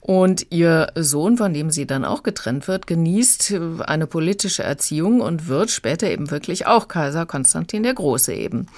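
A woman's voice: sits low, lively intonation, moderate pace, few pauses, a little mellow, no echo. A woman giving a conversational audiobook review as a critic.

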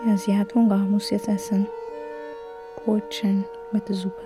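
Woman, flat even delivery, terse plain scene description, clear music behind. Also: mid-range voice, a little slow. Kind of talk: descriptive retelling of film scenes.

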